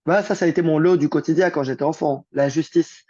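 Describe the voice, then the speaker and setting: A man's voice: on the high side, fairly even intonation, fast talker, a little mellow, no echo. A young man talks as a guest, rambling from one thought to the next with plenty of fillers and restarts. The recording sounds muffled and lo-fi. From Belgium. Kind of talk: casual conversation.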